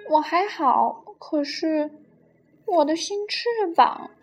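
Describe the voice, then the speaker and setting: A woman voicing an excited little penguin who dreams of flying, eager and exclamatory, in a storybook reading. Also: high-pitched, slow pace, faint music behind, voice pushed up, full character voice. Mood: dismayed.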